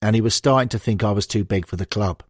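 A man's voice: on the low side, fast, dry recording, studio quality, lightly acted. A man reading the interview quotes of a frank football manager, with blunt, emphatic, conversational storytelling in an audiobook. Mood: indignant, candid. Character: frank, animated male football manager reminiscing. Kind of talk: acted interview quotations in an audiobook.

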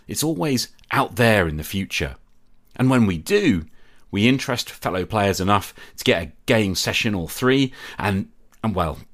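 Middle-aged male podcast host, very low voice with a lively, rolling melody; candid and wryly self-mocking. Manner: reflective and candid, with wry self-deprecating humour. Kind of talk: solo podcast monologue.

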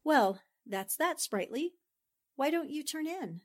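A woman's voice: high-pitched, slow pace. An actress playing an affectionate, spirited newspaperwoman in a 1940s-style radio drama, speaking expressively and with fond familiarity. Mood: fond, casual. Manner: expressive, chatty, uses pet endearments. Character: affectionate, spirited newspaperwoman concerned for her friend.

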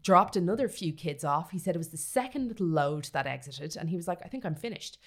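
A woman's voice: fairly low pitch, lively intonation, fast talker. A woman with an Irish accent, a podcast host telling a story to her co-hosts in a sweary, comic way, relishing gory details and checking in with "right?".

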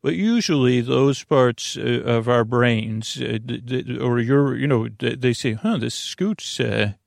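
A man hosting a sleep podcast with a halting, meandering, self-deprecating and reassuring improvised monologue. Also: mid-range voice, gravelly, no room sound, clean studio sound. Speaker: podcast host. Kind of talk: rambling improvised bedtime monologue.

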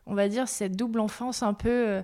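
Candid woman, relaxed rambling talk. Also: mid-range voice, normal speed. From France. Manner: candid, rambling, self-mocking. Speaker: guest, brand founder. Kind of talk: casual interview chat.

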